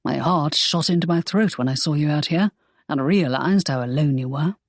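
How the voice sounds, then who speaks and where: A woman voicing a protective young male romance hero in an audiobook, speaking calmly and firmly in a lowered voice. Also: dry recording, clean studio sound. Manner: calm, firm, low and measured.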